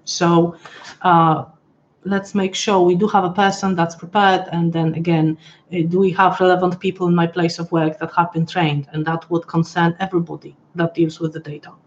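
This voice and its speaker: A woman's voice: fairly low pitch, level tone, moderate pace, dull audio, a little hiss or hum. A woman hosting a live webinar from home, chatty and hesitant with frequent restarts, her voice a little worn as she is losing it. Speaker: webinar host. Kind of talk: casual live-stream walkthrough. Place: home room, pet nearby.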